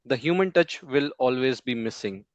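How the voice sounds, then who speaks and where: A man, a Hindi speaker, giving an impromptu speech at a speaking club in a slow, measured way with pauses between phrases. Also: mid-range voice, expressive, dry recording. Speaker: table topics speaker. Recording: dull close mic, dry.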